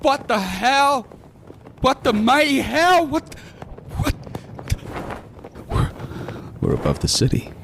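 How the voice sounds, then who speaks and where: A man's voice: very high voice, wildly swooping pitch, takes it slowly, vocal fry, long pauses, a bit bright, slight background noise, full character voice. A man playing a crude, sardonic serial killer who narrates his own hunt in a horror audio drama, with intense, profane, wisecracking delivery. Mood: panicked, then bewildered. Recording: music behind the voice. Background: music.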